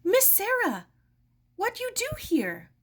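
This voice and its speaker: A weary old Japanese mother, played by a woman narrator in a storybook. Her voice rides very high, and her concern comes out in halting, simplified phrases. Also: wildly swooping pitch, full character voice.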